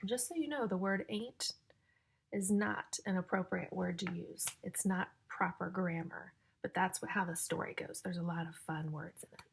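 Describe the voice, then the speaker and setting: A woman reading a picture book aloud to young children in a sing-song, exaggerated way, pausing to ask and explain like a teacher. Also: lowish voice, gravelly, background noise. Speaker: children's story-time reader and teacher.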